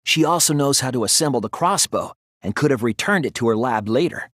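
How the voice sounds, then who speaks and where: An earnest young male detective, played by a man in a video game lays out his reasoning with care. His mid-pitched voice moves expressively and has a soft, husky edge. The recording is dry and close, and the delivery is thoughtful and analytical. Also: lively intonation, no room sound, natural voice. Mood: measured reasoning. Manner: deliberate, earnest explaining. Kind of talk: scripted video game voice acting, deduction speeches.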